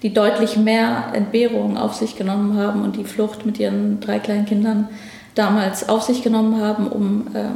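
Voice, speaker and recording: A woman's voice: mid-range voice, level tone, normal speed, gravelly, warm tone, echoey room. A woman from Afghanistan, a ministry division head, answering interview questions thoughtfully with frequent hesitations. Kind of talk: conversational interview. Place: office at a federal ministry.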